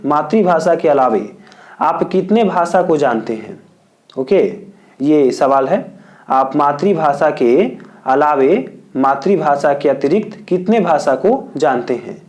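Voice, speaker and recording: Slow, repetitive Indian tutor. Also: male voice, fairly high pitch, darkish tone. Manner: repeats each point in several wordings; dictates English answers slowly. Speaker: teacher. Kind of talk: exam answer lesson.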